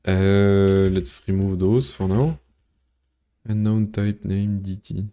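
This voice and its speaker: Low, slow man coding. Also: flat delivery, mellow, smooth. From Belgium. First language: French. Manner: thinks aloud, halting with many fillers and restarts. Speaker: programmer streaming his coding. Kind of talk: live coding explanation, answering chat.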